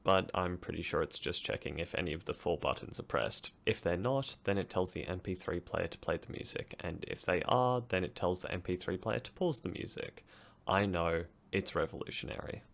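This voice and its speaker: A man's voice: low voice, moderate pace, gravelly, fairly bright tone. A young man narrating a DIY project casually, with dry, self-deprecating humour. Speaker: maker presenting a DIY project.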